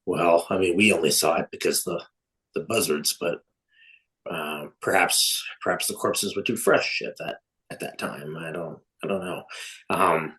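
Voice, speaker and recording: Man playing an earnest, low-voiced woman wildlife officer. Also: measured pace, a bit bright, slightly rough, full character voice, rough recording. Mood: troubled, hesitant.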